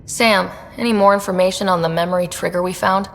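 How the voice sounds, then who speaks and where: An actress playing a wry, curious young space explorer in a video game, talking in a casual, conversational way. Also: female voice, steady tone, brisk, close to an everyday voice. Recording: long reverb, low rumbling noise.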